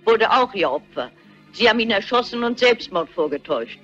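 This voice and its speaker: A woman's voice: on the high side, lively intonation, a little fast, fairly bright tone. An actress playing a shrewd, composed elderly lady detective in a radio drama, speaking politely and precisely. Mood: calmly accusing. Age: older. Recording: dull lo-fi audio, music behind.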